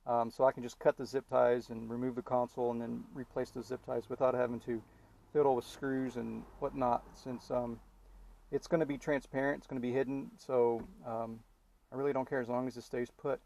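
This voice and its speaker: A man's voice: medium pitch, little pitch movement, vocal fry, warm tone. Man casually talking through a hands-on job. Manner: informal, halting thinking-aloud delivery. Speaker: DIY car vlogger. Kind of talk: casual hands-on installation tutorial, talking while working.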